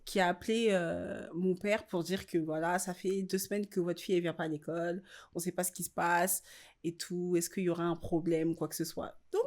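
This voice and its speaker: A woman in her twenties from France, a make-up artist guest telling stories in a casual interview, animated and quick to laugh. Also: on the low side. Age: 20s. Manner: animated storyteller who laughs often.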